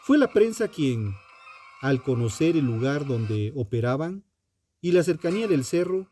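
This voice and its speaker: A man, a podcast host delivering scripted true-crime narration in a dramatic, deliberate way with pauses. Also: a little high, sing-song, dark tone, slight background noise.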